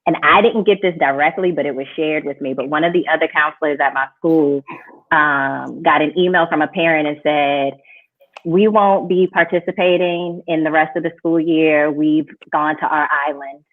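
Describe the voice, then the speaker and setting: A woman school counselor sharing an anecdote in a measured, hesitant way. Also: low-pitched, fairly even intonation, phone-quality sound. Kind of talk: casual anecdote.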